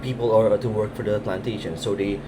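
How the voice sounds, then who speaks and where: A man's voice: fairly low pitch, steady tone, fast, noisy background. A young man, son of a restaurant owner, explaining history with many fillers. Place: indoor restaurant.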